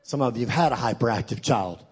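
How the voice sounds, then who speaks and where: A man's voice: mid-range voice, animated, quick pace, darkish tone, husky. A man with a Texan accent preaching a sermon as guest speaker, emphatic and joking, calling out to his listeners. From Texas. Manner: emphatic, joking, call-and-response with the crowd.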